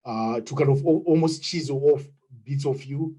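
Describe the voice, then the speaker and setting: A man from Zimbabwe with a clear Zimbabwean accent speaks over a video call. His voice is dark, mellow and a little husky. He talks haltingly, with many fillers and restarts. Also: mid-range voice. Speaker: webinar participant. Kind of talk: unscripted contribution in an online meeting.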